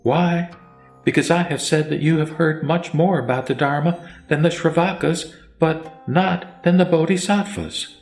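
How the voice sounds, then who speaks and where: A man voicing the Buddha as a serene teacher, preaching in a solemn, measured way in a scripted sutra audio drama. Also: on the high side, voice pushed up. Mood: solemn instruction. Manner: solemn, measured sermon delivery. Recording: echoey voice over music.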